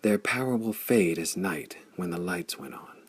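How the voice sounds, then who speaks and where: A man reading the part of a tender, devoted young woman in an audiobook, speaking in soft, hushed tones. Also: sits low, moderate pace, breathy edge. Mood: dreamy. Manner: soft, hushed whispering delivery. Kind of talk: audiobook character voice.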